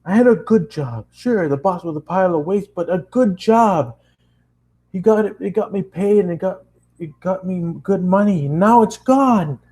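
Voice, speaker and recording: A man voicing his own enraged younger self in a spoken story, shouting at a companion after losing his job. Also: mid-range voice, animated, slow pace, few pauses. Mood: furious.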